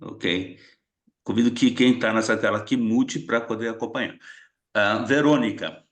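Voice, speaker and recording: A man's voice: mid-range voice, normal speed. Brief: male facilitator on a video call, friendly short welcomes. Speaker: retreat facilitator calling on participants. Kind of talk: casual online group meeting.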